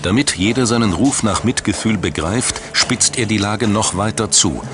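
A man narrates a scripted documentary in a low, deep voice. His delivery is measured and dramatic, like formal storytelling, with music running clearly underneath. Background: background music.

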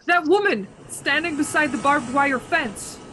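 A woman's voice: high-pitched, expressive, a little slow, bright, forceful tone, soft background music, full character voice. An actress playing a frightened, desperate woman driver in a suspense radio play, with dramatic intensity. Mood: frantic, pleading. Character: frightened, increasingly desperate woman driver haunted on a road trip. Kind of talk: suspense radio play acting and first-person narration.

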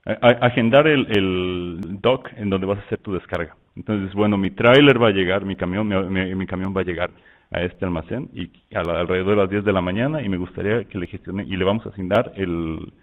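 A man from Mexico with a Mexican Spanish accent, walking through software in a loose, conversational webinar style full of fillers and restarts. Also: low voice, fairly quick. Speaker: software presenter. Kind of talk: webinar product walkthrough. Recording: tinny phone-like line.

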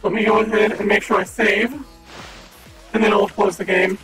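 A man with a strikingly high, slightly raspy voice who chats upbeat and casually to a live stream audience. He speaks into a desk mic. Music plays clearly underneath him. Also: flat delivery, normal speed. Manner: chatty, upbeat, talks to chat.

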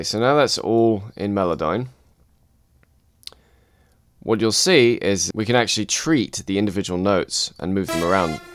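A man calmly walking through a music production software tutorial, step by step. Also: sits low. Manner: calm step-by-step explaining. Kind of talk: screen-recorded software tutorial.

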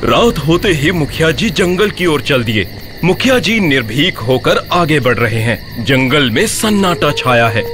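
A man's voice: on the high side, sing-song, unhurried, a bit bright. A man narrating a scripted story. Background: light music.

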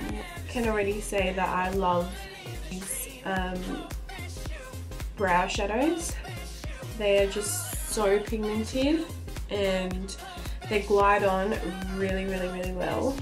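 Slow-talking young woman with a cold. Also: medium pitch, steady tone, music in the background. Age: young adult. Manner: chatty, unhurried, trailing off mid-sentence. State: slightly stuffy from a cold. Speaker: beauty vlogger. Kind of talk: casual makeup review. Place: small indoor room, plain wall.

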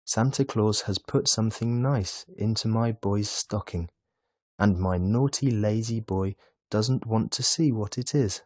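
A man voicing a doting mother in a children's storybook, fond and fussing as she gently chides her son. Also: on the low side, a little slow, no room sound, studio quality. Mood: fond teasing.

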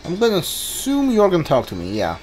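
A man's voice: sits high, wildly swooping pitch, a little fast, darkish tone, soft background music, low-quality recording. A man giving casual playthrough commentary as a video game streamer, muttering to himself and talking back to the game.

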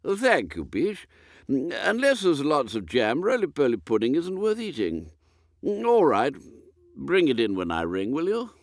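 A dotty old british earl, pitched up, wobbling all over, dithery audiobook character. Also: male voice, huge pitch swings, a little slow, dry recording, clean studio sound, heavily acted. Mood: contentedly fussy. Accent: British English. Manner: bumbling, hesitant, repeats himself. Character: vague, dithering elderly English earl.

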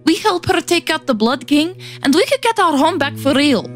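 A woman's voice: sits high, expressive, normal speed. Woman playing a brash young rebel woman. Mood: teasing, persuading. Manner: brash, teasing. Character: brash, cocky young woman boat-builder, teasing rebel. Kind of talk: dramatic fantasy fan-dub acting. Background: music.

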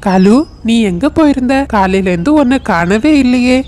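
A woman's voice: fairly high pitch, lively intonation, normal speed, darkish tone, lightly acted. Blunt scolding cartoon bird voiced by a woman. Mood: questioning. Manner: reproachful.

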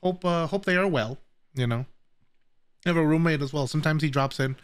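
A man's voice: fairly high pitch, brisk, clean tone. A man chatting casually and jokingly as he streams a game, often playful in the way he talks. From Vietnamese. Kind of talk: casual livestream chat while gaming. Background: game music.

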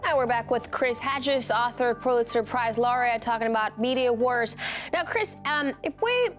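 A woman's voice: a little high, normal speed, over music. Young female TV host, poised, probing, studio mic. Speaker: TV interview host. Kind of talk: broadcast interview questions. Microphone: broadcast studio mic.

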